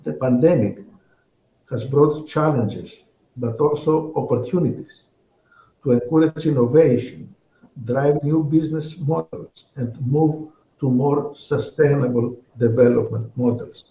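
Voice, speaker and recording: A man from Greece, delivering a measured, formal prepared speech as a conference speaker over a remote link. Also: medium pitch, roomy sound, muffled recording. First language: Greek. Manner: measured, deliberate, with occasional repeated words.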